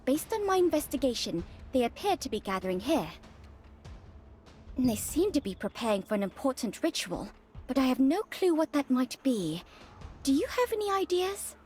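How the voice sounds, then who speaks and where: An actress playing an eager, curious researcher mage in a fantasy video game, expressive and inquisitive. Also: female voice, high-pitched, moderate pace. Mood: earnest.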